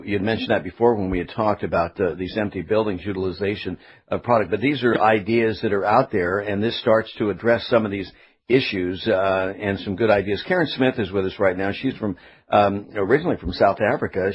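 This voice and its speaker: A man's voice: on the low side, brisk, slightly rough. A man hosting a live talk radio interview. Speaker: radio host. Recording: slightly dull radio broadcast sound.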